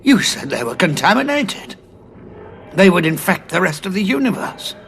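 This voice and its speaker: A man's voice: high voice, sing-song, normal speed, a bit bright. A man playing a weary, moral renegade Time Lord in an audio drama, sardonic, intense and challenging. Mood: dry, wary.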